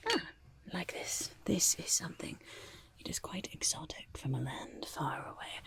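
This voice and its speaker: Woman as goblin stallkeeper, ASMR roleplay, low-pitched, crackly and breathy whisper, quirky, shifty, eager to sell, muttered asides. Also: a little mellow, heavily acted. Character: quirky shifty goblin merchant peddling grotesque wares, eager and defensive.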